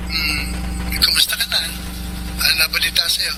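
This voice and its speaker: An actor playing an apologetic, persistent young former love interest in a radio romance drama, with a Filipino accent. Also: male voice, on the high side, steady tone, moderate pace, lightly acted. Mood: teasing, casual. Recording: noisy line with engine-like hum.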